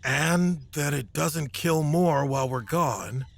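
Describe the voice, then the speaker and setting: A man's voice: fairly high pitch, slow, vocal fry, no echo, heavily acted. A man playing a hesitant, awkward but determined young novice knight in an audio drama. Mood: shaken.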